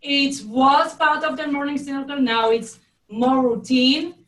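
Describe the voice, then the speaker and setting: Slow woman with Hebrew accent, echoey. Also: high-pitched, steady tone, fairly bright tone. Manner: halting, searching for words. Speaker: preschool teacher taking part. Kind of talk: video call discussion. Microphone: video call, distant from the mic. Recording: echoey room, far from mic.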